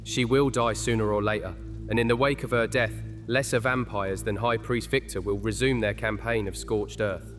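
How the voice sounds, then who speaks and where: An actor playing a grave, calm vampire of an ancient bloodline, speaking in measured, formal tones in an audio drama. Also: male voice, on the low side, faint music behind, close to an everyday voice. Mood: grave, warning. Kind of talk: dramatic audio fiction acting.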